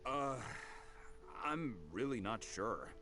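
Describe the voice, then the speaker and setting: A man voicing an easygoing, helpful craftsman in a video game, talking casually and thoughtfully with small pauses. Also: medium pitch, animated, bright, forceful tone.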